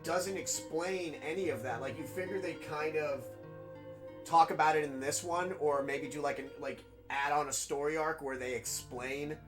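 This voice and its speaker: Raspy, nasal New York man. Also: fairly high pitch. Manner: rambling, chatty, full of fillers. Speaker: game streamer. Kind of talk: casual gameplay commentary.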